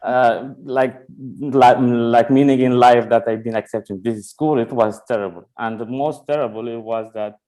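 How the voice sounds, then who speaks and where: Male comic from Sudan, non-native English, halting, pause-filled delivery. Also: mid-range voice, fairly even intonation, dark tone, low-quality recording. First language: not English. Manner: halting, many "uh" pauses, searching for words. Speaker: stand-up comedian. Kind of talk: stand-up set.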